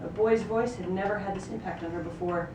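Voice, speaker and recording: A woman reading a novel excerpt aloud at a live reading, in an expressive storytelling manner with occasional stumbles. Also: mid-range voice, brisk. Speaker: actor reading a book excerpt. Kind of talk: live literary reading, third-person narration. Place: live reading in a roomy venue. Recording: roomy, distant microphone, noisy ambience.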